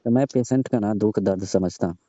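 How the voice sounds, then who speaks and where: A man's voice: on the low side, a little fast, warm tone, dry recording. A middle-aged man playing a village doctor on a staged comic phone call, laid-back and flirting. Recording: dry, muffled phone call recording.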